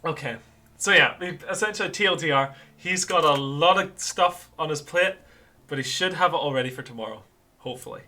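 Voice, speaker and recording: Casual male player off-mic banter, bright and loose, voice chat sound. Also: a little high, a little fast. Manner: loose, joking, swears casually. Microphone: online voice chat mic.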